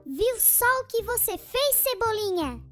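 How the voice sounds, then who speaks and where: Small bickering child, children's cartoon, squeaky high voice, whiny, exclaiming, music behind. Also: very animated, unhurried, full character voice. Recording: music bed under the voices.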